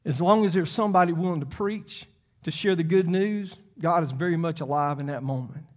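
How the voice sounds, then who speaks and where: A man, preaching a sermon in an animated way, repeating and restarting his phrases and climbing to near-shouts. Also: on the high side, brisk. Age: 50s. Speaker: pastor.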